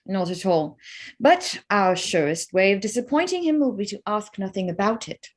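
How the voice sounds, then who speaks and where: A woman's voice: mid-range voice, animated, normal speed, natural voice. A woman playing a witty, teasing, spirited young lady in a period drama reading, dry, playful and composed.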